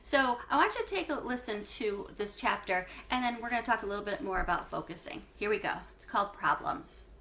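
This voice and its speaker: A woman reading a children's book aloud like a teacher to schoolchildren, with expressive phrasing and emphasis on key words. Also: mid-range voice, brisk, few pauses. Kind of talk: read-aloud storytelling for schoolchildren.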